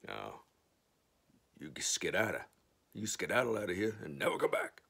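A big, just-minded storybook bear who speaks in a slow, firm drawl, as played by a man telling the story. The voice is deep, gravelly and husky. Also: sing-song, a bit bright, heavily acted. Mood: stern. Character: big male bear with a strong sense of justice. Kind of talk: children's storytelling.

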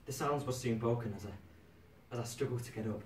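A young man performs a wounded soldier in a theatrical stage monologue, haunted and deliberate. Long dramatic pauses break up the lines. The sound is roomy and distant, with faint noise behind. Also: mid-range voice, natural voice. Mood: haunted, reflective. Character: wounded young soldier recalling losing his legs in battle.

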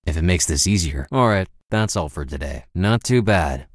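An actor voicing a weary, cynical American mercenary in a video game, with a laconic, deadpan delivery. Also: male voice, low-pitched, normal speed, no room sound, studio quality. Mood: weary, cool. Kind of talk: video game character voice lines, short barks and remarks.

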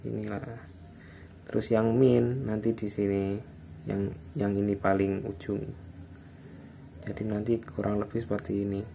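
Slow, low-voiced man. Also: flat delivery, gravelly, dark tone. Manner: informal, unscripted, halting. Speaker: electronics repair hobbyist giving a tutorial. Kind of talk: casual hands-on tutorial narration. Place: indoors at an electronics workbench. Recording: background noise, phone or camera microphone.

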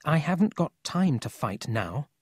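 A narrator voicing an earnest, anxious young country boy in a children's storybook, quick to stand up for himself. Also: medium pitch, big pitch swings, a little mellow, breathy edge, no room sound, studio quality, voice pushed up. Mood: defiant.

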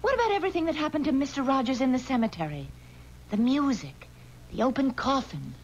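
An American woman playing a spirited, skeptical and impatient character in a 1970s radio drama, expressive and challenging. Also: high voice, fast talker. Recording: slightly noisy, dull 1970s radio recording.

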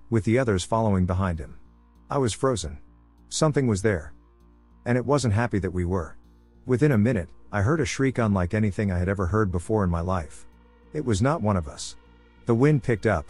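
A man with a fairly low voice reads scary first-person stories in a measured, deliberate way. He pauses for effect between short lines. Faint music plays under his voice. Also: darkish tone. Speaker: narrator. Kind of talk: scripted horror story narration.

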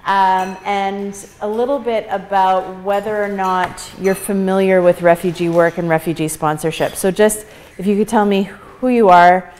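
A woman in her thirties stands at a lectern in a meeting room and projects her voice to a small audience without a microphone. She talks loudly and casually, and her voice is mid-pitched, with faint noise behind it. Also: steady tone, moderate pace. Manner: loud, projecting without a mic, laughs often. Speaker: guest speaker. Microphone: far camera, no microphone.

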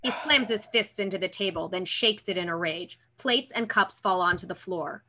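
A woman's voice: medium pitch, normal speed, bright, forceful tone. A young woman reading stage directions as scripted narration over a video call. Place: room at home.

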